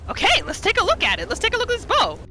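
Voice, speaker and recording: A woman's voice: very high voice, huge pitch swings, very fast, background noise. A woman narrating a roleplay game playthrough, reacting with exclamations and talking to the game's characters. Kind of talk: reactive let's-play commentary.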